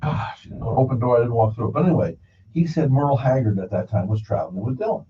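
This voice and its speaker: A man with a soft-edged, mellow voice chats like a folksy show host, drifting through anecdotes and acting out quotes. He sits back from the microphone, so the sound is somewhat dull, with faint noise underneath. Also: medium pitch, expressive, fast talker, far from the mic. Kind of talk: casual rambling anecdotal conversation.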